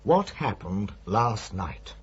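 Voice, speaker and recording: An actor playing a polite police inspector in a radio drama, asking questions patiently with a husky voice. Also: male voice, on the low side, sing-song, slow pace, heavily acted. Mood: patient, firm. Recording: dull, muffled radio recording.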